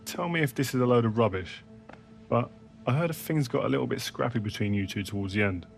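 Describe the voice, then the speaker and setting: A man's voice: mid-range voice, fairly quick, gravelly, soft background music, natural voice. An actor playing a chummy British football interviewer in a game cutscene, chatty and informal as he probes. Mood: probing, friendly.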